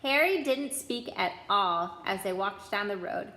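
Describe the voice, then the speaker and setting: A woman storyteller reading a children's storybook aloud in expressive narration. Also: medium pitch, unhurried, reverberant.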